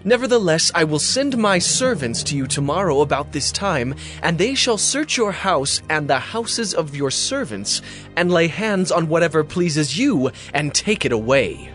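Imperious herald with slow, swooping delivery. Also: male voice, a little high, very animated, fairly bright tone, faint music behind. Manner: declamatory, imperious. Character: male royal messenger proclaiming a conquering king's demands. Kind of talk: dramatized audio Bible acting.

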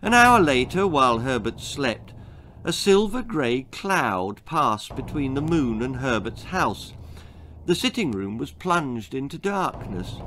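A man in his sixties with a British accent reading his own children's story aloud in a theatrical, deliberate, suspenseful manner. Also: mid-range voice, a bit bright, a little hiss or hum. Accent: British English. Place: small book-lined room indoors.

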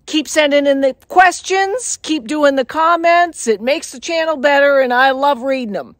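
A woman's voice: high-pitched, normal speed, dry recording, studio quality. A woman who is a wilderness guide and show host, casually showing gear outdoors in the snow and telling a story in a plainspoken, folksy way. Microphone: camera mic, handheld at times.

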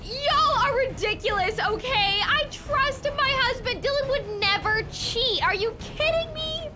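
A woman's voice: very high-pitched, expressive, measured pace. A woman playing a trusting young newlywed princess in a roleplay story, exclamatory and insistent. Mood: defensive. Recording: music playing behind the voice.

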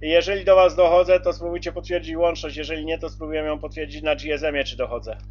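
A man's voice: fairly high pitch, fairly even intonation, quick pace, lo-fi sound. A man talking casually as a CB radio operator, repeating himself as he checks and confirms reception. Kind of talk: casual radio contact talk.

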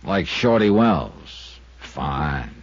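A man's voice: extremely low pitch, big pitch swings, drawn-out words, dry recording, heavily acted. An actor playing a wisecracking male insurance investigator who narrates his own case in a dry, wry, matter-of-fact way, in a 1950s radio drama. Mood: guarded. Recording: dull old radio transcription.

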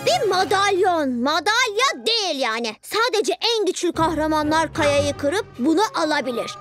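Cartoon boy ninja villain: very high, swooping, haughty taunts over clear music. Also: big pitch swings, moderate pace. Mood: boastful. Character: boastful young boy ninja villain, sneering and bossy. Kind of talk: dubbed children's cartoon acting. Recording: music behind the voice. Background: background music.

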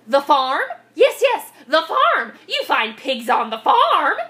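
A woman's voice: high voice, huge pitch swings, takes it slowly, bright, forceful tone, voice pushed up, full character voice. A woman voicing a cranky, bossy storybook witch who screeches, cackles and hurls insults. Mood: excited. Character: cranky, bossy witch hunting pigs, shrieking and threatening.

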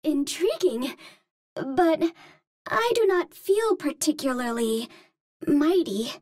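A woman's voice: sits high, animated, slow, a little mellow, no echo, studio quality. An actress playing a cute, naive young Martian space healer, speaking in a sweet, girlish, precise and formal way. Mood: curious, earnest.

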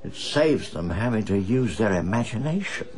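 An actor playing a genial, consoling older English gentleman friend in a radio play, hesitant and old-fashioned in manner. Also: fairly low pitch, lively intonation, normal speed, lightly acted. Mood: chummy, wry. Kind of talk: naturalistic radio drama acting.

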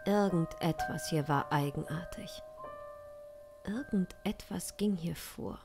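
A young woman improvising story narration in a hushed, measured, suspenseful way, close on a studio microphone. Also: on the low side, over music. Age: young adult. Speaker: improv audio drama narrator. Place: small home studio, indoors.

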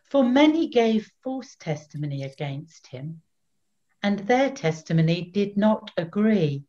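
Older woman narrator, unhurried solemn scripture reading, dull home webcam sound. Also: mid-range voice, sing-song, mellow. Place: small plain room at home.

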